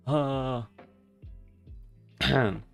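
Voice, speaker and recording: A man's voice: medium pitch, creaky, fairly bright tone. A man giving casual live gameplay commentary, swearing and exclaiming as he reacts to the game. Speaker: VTuber game streamer. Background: game music and effects.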